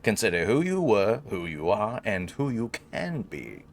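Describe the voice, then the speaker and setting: A man's voice: lowish voice, very animated, unhurried, creaky, heavily acted. A man voicing a solemn, reverent narrator reading sacred lore from a fantasy game. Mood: reverent, grand. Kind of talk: scripted lore read-aloud.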